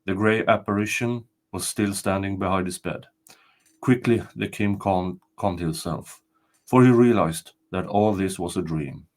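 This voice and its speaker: A man's voice: low-pitched, a little slow. An older man narrating a folktale as a storyteller, reading from a written text. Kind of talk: scripted folktale narration, read aloud.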